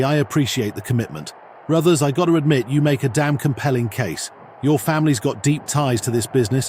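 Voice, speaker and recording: A man's voice: mid-range voice, darkish tone, a little hiss or hum, natural voice. Man as a laid-back wrestling brand boss. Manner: laid-back drawl, slangy, blunt. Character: swaggering male wrestling brand boss, blunt and commanding. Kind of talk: wrestling promo acting.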